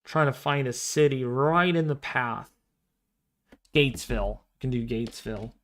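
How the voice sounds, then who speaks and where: A man in his twenties hosting a casual livestream, talking through an astronomy program with excitable exclamations as he thinks aloud. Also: mid-range voice, moderate pace. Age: 20s. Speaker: livestream host.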